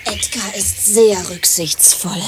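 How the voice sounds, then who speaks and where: A woman's voice: medium pitch, fairly even intonation, slow, music in the background, natural voice. Actress as a haughty, touchy artistic woman. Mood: haughty, indignant. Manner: pompous, indignant. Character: haughty, easily offended artistic woman musician. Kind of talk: radio play dialogue.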